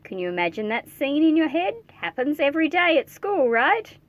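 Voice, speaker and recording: A woman reading a children's picture book aloud in an expressive, playful way, speaking directly to her young listeners with chatty asides. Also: high voice, normal speed, narrow, tinny audio.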